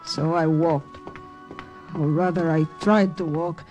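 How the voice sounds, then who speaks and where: A woman's voice: low voice, fairly even intonation, slow pace, a little mellow, rough recording. Young female spy, brave, guarded, vintage radio drama narration, old recording, faint music. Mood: reflective, tense. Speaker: lead actress and narrator. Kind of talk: 1950s radio drama acting, narrating and in dialogue.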